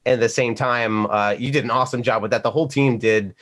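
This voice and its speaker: A man's voice: medium pitch, steady tone, a little fast, fairly bright tone. A man who works as a voice actor, talking casually on a remote panel and telling stories with acted-out asides. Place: remote video call.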